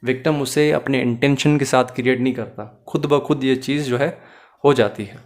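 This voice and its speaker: A man's voice: medium pitch, fairly even intonation, normal speed. A man giving an explanatory lecture as a teacher, in a didactic way, mixing in English phrases and often checking that listeners follow. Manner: mixes English phrases into Hindi-Urdu. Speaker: law teacher.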